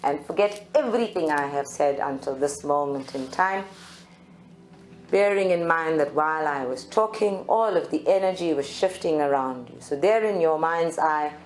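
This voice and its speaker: A woman leading a guided spiritual meditation in slow, deliberate phrases with long pauses. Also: low-pitched, slight background noise. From South Africa. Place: group meditation room at a centre. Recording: live roomy recording with faint music. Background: faint music.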